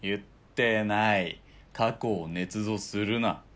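A man playing a wry, casual ex-soldier turned hired killer in a radio drama, with rough, bantering speech. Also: fairly low pitch, slow pace, fairly bright tone. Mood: bantering, defensive.

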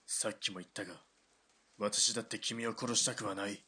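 A man's voice: lowish voice, steady tone, fairly quick, bright, forceful tone, husky. A man playing a stern Japanese army lieutenant colonel in a radio drama, curt and commanding. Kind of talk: hobby radio drama acting.

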